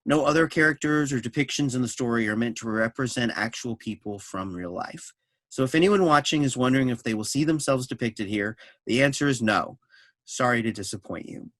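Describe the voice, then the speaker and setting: Guy narrating from a script, explaining stuff steadily, middle-pitched voice, dry recording. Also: brisk, a bit bright, no room sound. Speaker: narrator of a staged reading. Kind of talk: scripted narration of an introduction and stage directions.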